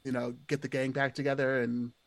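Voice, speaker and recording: A man's voice: medium pitch, level tone, brisk, dry recording. A man chatting casually as a podcast guest, rambling along and peppering his sentences with "like". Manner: rambling, heavily hedged with "like".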